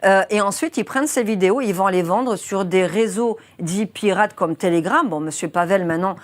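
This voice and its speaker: A young woman journalist in a studio interview walks through a grim case step by step. She speaks earnestly and weighs her words with care, with plenty of small fillers along the way. Her voice sits at an ordinary pitch for a woman. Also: moderate pace. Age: 20s. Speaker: journalist guest. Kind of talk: interview explaining a criminal case.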